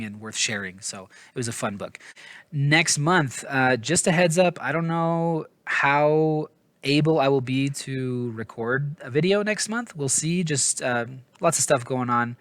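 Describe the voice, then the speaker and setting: A man's voice: mid-range voice, fairly bright tone, dry recording. A man giving a casual, rambling book review in an informal, hesitant, self-deprecating way, often stumbling over his words. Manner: informal, hedging, apologetic.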